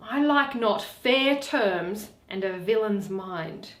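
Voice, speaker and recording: A woman playing an earnest, worried, loyal gentleman in a dramatic Shakespeare reading, in a roomy space. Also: mid-range voice, animated, slow, voice pushed down. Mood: worried protest. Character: Bassanio, earnest, anxious, loyal gentleman friend. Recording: roomy, echoing.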